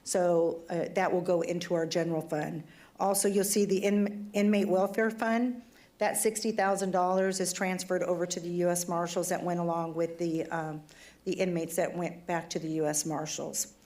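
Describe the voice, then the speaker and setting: A woman police chief with a fairly low voice, briefing a city council. Her throat is giving out and she sounds hoarse as she talks. She speaks plainly and conversationally, though the setting is formal. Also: little pitch movement, moderate pace, darkish tone. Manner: conversational and plainspoken, some fillers. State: losing her voice, throat trouble.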